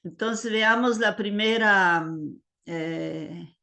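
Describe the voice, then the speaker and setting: Older woman, unhurried halting online lecturer. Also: medium pitch, creaky, pushed and bright. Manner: hesitant, many fillers and Italian words. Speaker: liturgy teacher. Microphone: video call.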